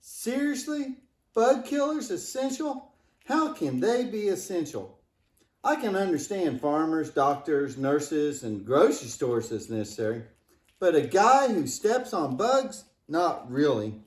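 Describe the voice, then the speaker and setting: A man's voice: fairly high pitch, big pitch swings, measured pace. Echoey, cheeky boy puppet played by a man. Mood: incredulous, cheeky. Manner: playful, joking, exaggerated exclamations. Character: Michael, cheeky, wisecracking, imaginative boy puppet. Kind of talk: scripted church puppet show dialogue. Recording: echoey room.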